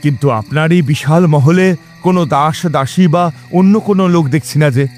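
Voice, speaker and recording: A man's voice: on the high side, normal speed, a little mellow, soft background music, natural voice. A man playing a bold, righteous tantric Brahmin in a horror audio drama, speaking in an earnest, probing way. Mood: curious.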